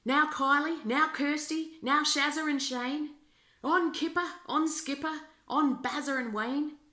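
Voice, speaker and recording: A woman voicing a jolly old Santa in a storybook, with loud, rousing calls. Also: sits high, measured pace, voice pushed up. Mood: rousing, cheerful. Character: jolly old Aussie Santa calling out to his kangaroo team. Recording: roomy, echoing indoor sound.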